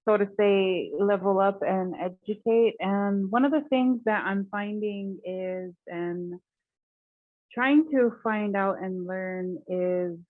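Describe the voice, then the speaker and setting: A soft-spoken woman caller who hesitates and searches for words as she asks her question. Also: medium pitch, slow, no echo. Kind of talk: casual chat. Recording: dry, tinny call line.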